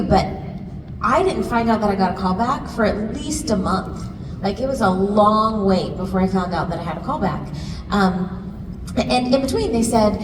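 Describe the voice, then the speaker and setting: A woman voice actor on a convention panel, telling a lively story with quick laughs. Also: mid-range voice. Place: large convention hall. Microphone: handheld mic, recorded from far off in the audience. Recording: echoey hall recorded from far off, noisy.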